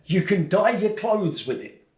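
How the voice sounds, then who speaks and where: A man's voice: high voice, animated, measured pace, roomy sound. A man co-hosting a podcast in casual chat, playful and teasing with his guests. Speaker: podcast co-host.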